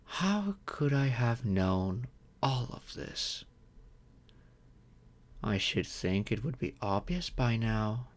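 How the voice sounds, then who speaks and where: A man playing a wealthy, grateful vampire in an ASMR audio roleplay, speaking in a gentle, courteous and measured way. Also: medium pitch, animated. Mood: amused, warm. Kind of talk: slow intimate ASMR roleplay monologue.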